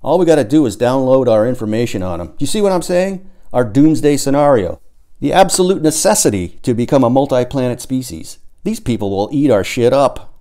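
A man voicing a cynical, crude charity swindler in an audiobook, pitching his scheme emphatically. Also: expressive, brisk, dark tone, close to an everyday voice. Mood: gleeful, scheming.

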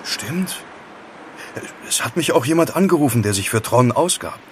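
A man's voice: mid-range voice, lively intonation, normal speed, a little hiss or hum, lightly acted. An actor playing a cheeky young male student who investigates in an audio drama. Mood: wry, soothing. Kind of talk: German radio play acting.

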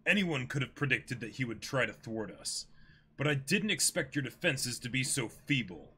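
A man voicing a contemptuous pirate captain, stern and measured, in a dramatic manga reading. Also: mid-range voice, animated, sharp tone, breathy edge. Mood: cold stern. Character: cold, contemptuous pirate captain scolding underlings.

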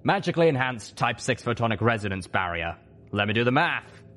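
A man's voice: medium pitch, very animated, a little fast, fairly bright tone. Guy doing a big dragon from a storybook, wry, confident, drawls his words out. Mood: smug.

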